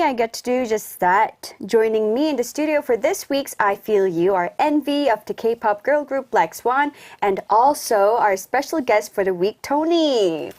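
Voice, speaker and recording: A woman's voice: fairly high pitch, a little mellow. A woman from Hong Kong hosting a radio show, reading an opening script and then chatting with guests in a bubbly, reactive way. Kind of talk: radio hosting, casual chat. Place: radio studio.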